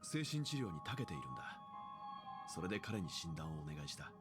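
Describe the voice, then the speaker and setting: An actor playing a calm, serious young man in an anime-style game. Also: low voice, rapid-fire. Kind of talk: Japanese anime game voice acting. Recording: game dialogue under clear music.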